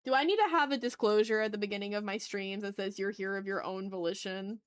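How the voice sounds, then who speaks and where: A woman chatting casually as she hosts an art livestream, talking to her viewers in an animated, slangy, teasing way with some swearing. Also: mid-range voice, fast, clean tone. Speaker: livestream host and artist. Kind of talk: casual art livestream chat, reading and answering chat messages.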